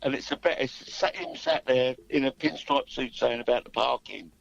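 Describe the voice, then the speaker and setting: A man's voice: medium pitch, moderate pace, gravelly, no room sound, muffled recording. A man chatting casually as a musician guest, plain-spoken, colloquial and matey. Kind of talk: casual interview chat.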